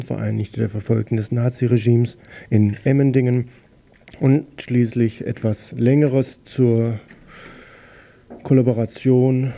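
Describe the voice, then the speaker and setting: A man presenting a daily news program on a community radio station, reading his introductions in a measured, slightly halting way. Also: medium pitch, gravelly, muffled recording.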